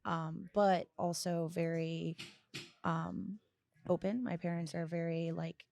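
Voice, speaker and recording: A woman in her early twenties giving candid, reflective interview answers as a podcast guest, often halting and correcting herself. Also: on the low side, fairly even intonation, vocal fry, darkish tone, a little hiss or hum. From United States, grew up partly in Florida and abroad. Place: small indoor lounge, on a leather couch. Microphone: close boom-arm podcast microphone with headphones.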